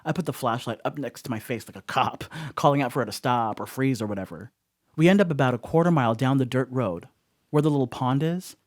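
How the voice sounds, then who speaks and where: Man acting a flustered stoner dog sitter, medium-pitched male voice, rambling, wry asides, conversational radio play storytelling. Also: brisk, darkish tone, natural voice. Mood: flustered, animated. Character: rattled, rambling stoner dog sitter confessing a strange mishap.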